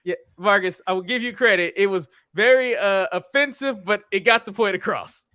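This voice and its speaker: A man's voice: high voice, normal speed, pushed and bright, smooth, no room sound, studio quality. A man co-hosting a podcast, teasing his co-hosts in casual banter. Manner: teasing, good-natured ribbing. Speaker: podcast co-host.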